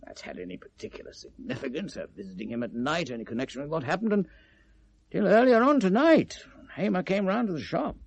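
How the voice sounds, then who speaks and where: An actor playing an evasive, self-justifying British gift-shop owner in 1970s radio drama, talking in a hesitant, defensive, chatty way. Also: male voice, fairly high pitch, expressive, fairly quick, vocal fry, darkish tone, slightly rough, lo-fi sound, heavily acted. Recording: vintage radio drama recording.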